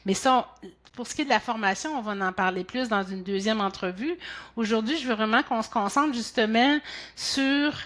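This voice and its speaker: A woman's voice: on the high side, moderate pace, a bit bright. A woman with a Québécois accent hosting an interview, asking questions in a chatty way. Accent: Québécois French. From Quebec. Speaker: host.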